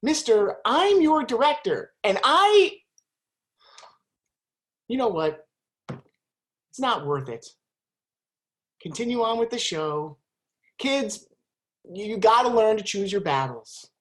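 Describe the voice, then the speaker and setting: A woman's voice: fairly high pitch, big pitch swings, slow pace, long pauses. A woman, the play's writer and director, speaking to the audience in a flustered way. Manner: flustered, breaks the fourth wall. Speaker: playwright and director.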